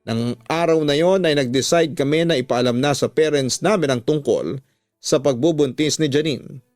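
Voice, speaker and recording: A man's voice: fairly high pitch, moderate pace, darkish tone, no room sound. A man narrating a love story in the first person, as dramatic scripted radio storytelling. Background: music.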